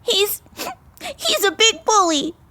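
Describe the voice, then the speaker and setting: A woman's voice: extremely high pitch, very animated, slow pace, sharp tone, heavily acted. A woman playing an eager young boy of eight in a comedic radio adventure. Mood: upset. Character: upset, eager eight-year-old frontier boy. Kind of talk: comedic old-time radio acting.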